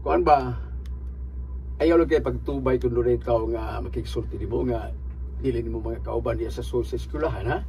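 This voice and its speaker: A man's voice: mid-range voice, moderate pace, mellow, natural voice, poor audio. A male actor plays a rural driver in a radio drama, talking to his passenger. He is friendly and folksy, with a cautioning way of speaking and faint noise behind his voice. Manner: folksy, advising.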